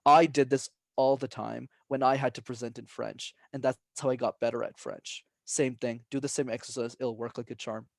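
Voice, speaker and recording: A young man as a podcast guest, giving energetic and emphatic advice and often tagging his points with "right?". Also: mid-range voice, moderate pace, vocal fry, hardly any pauses, dry recording. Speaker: guest, public speaking coach. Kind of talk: casual podcast interview.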